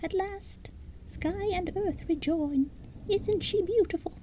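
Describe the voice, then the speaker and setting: A woman voicing a tiny, fiery fairy queen stuck in a possum's body, squeaky and commanding, in a storybook reading. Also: dark tone, a little hiss or hum. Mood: tender. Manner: squeaky, commanding, high-pitched and slow.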